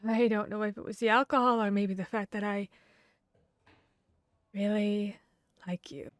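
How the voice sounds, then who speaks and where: A woman playing a guarded, bashful vampire hunter in an intimate ASMR roleplay, speaking earnestly and haltingly. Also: mid-range voice, steady tone, no echo, studio quality, close to an everyday voice. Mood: ashamed, apologetic. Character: guarded, bashful female vampire hunter confessing tender feelings. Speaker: audio roleplay voice actor.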